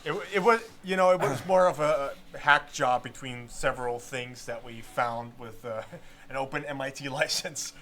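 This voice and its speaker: A man's voice: medium pitch, moderate pace, hardly any pauses, fairly bright tone. A man whose first language is not English, moderating a casual Q&A and joking between remarks. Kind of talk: casual chat.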